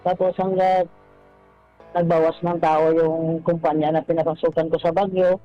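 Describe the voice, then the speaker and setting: A middle-aged man calling a radio show, telling his story casually in a halting, deferential way, repeating his phrases. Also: a little high, flat delivery. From Philippines, Visayas or Mindanao. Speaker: caller to a radio advice show. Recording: dull lo-fi phone call, faint music.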